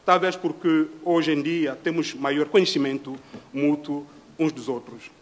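A middle-aged man from Congo speaks at a panel table in a dim hall. His voice sits a little high for a man. He talks in a measured, reflective way, pausing often to find his words. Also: fairly even intonation. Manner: measured, with frequent hesitations. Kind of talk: formal reflective talk.

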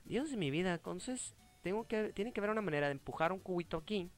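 A man's voice: sits high, animated, fast talker, faint music behind. A young adult man with a Mexican accent, a playful and dramatic host chatting casually while he plays a video game. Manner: playful, dramatic exclamations and mock voices. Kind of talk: casual gameplay chat. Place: indoor room, seated on a webcam call.

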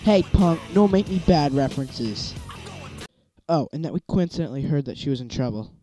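A man's voice: a little high, lively intonation, normal speed, over music. A man doing comedic character acting for an amateur anime parody dub, with a sarcastic, foul-mouthed manner. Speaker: amateur voice actor dubbing all parts of an abridged anime parody.

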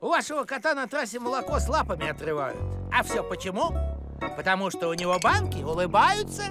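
Officious, lively cartoon postman. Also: sits high. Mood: self-satisfied. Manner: officious, pompous, drawn-out phrases. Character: meddlesome, officious village postman, slyly self-satisfied. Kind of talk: animated cartoon voice acting. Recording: music behind the voice.

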